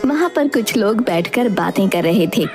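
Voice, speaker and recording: A woman's voice: medium pitch, expressive, moderate pace, darkish tone, faint music behind. A woman narrating a scripted story as its narrator, in the third person.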